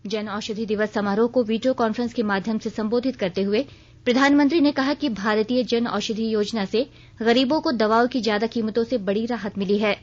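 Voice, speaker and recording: A woman with a fairly high voice reads a formal, scripted radio news bulletin. The sound is slightly dull, as on a modest broadcast recording. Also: fairly quick, a little mellow. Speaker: news reader.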